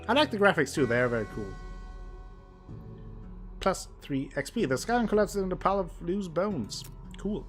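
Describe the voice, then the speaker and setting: Playful man reading game text over music. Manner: playful, reads narration with exaggerated pitch swings. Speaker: gameplay streamer. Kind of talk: let's play commentary, reading game text aloud. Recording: music playing underneath.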